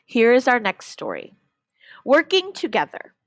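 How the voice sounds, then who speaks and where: A woman teacher reading a storybook aloud to young children, expressive and instructional, often pausing to pose questions. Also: a little high, dry recording, studio quality.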